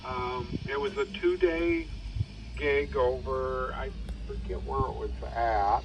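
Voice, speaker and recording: Slow-talking man over a dull radio link. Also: mid-range voice, fairly even intonation. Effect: two-way radio transmission. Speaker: amateur radio operator, volunteer examiner. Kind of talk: casual radio chat. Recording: dull radio transmission, faint noise.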